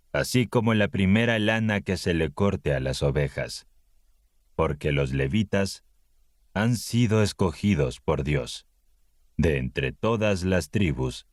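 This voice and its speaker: Slow, deep-voiced male scripture reader. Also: dry recording, studio quality. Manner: slow, solemn, measured reading. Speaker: Bible narrator.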